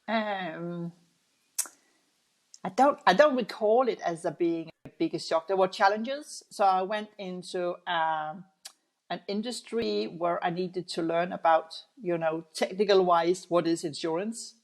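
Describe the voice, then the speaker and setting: A middle-aged Danish woman with a Danish accent, answering interview questions thoughtfully, with many pauses and restarts. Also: lowish voice. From Denmark. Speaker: guest, audit executive.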